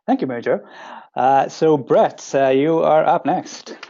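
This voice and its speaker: A man, not a native English speaker, hosting a training webinar, talking haltingly with many fillers and restarts over lo-fi web audio. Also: on the high side, creaky, mellow. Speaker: training program coordinator, webinar host. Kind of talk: hesitant webinar presenting.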